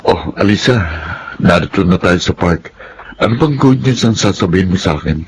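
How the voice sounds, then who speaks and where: A man's voice: low-pitched, expressive, moderate pace, over music, poor audio. A man acting an evasive, flustered boyfriend who stammers in a Tagalog radio drama. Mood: curious. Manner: hesitant, stammering.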